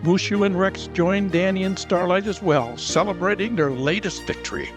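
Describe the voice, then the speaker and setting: A man's voice: high voice, moderate pace. A man giving dramatic, scripted narration for a fantasy audio drama. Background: music.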